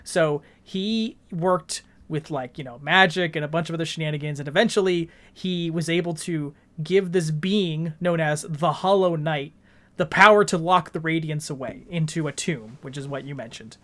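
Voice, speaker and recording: A male podcast co-host with a somewhat high-pitched voice. He talks in a casual, explanatory way, using everyday colloquial language as he discusses video games. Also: moderate pace, fairly bright tone.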